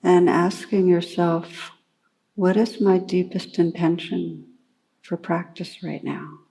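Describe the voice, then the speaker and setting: A woman meditation teacher leading a guided meditation in a calm, unhurried way, gently instructing with long pauses. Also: on the low side, gravelly, rough recording.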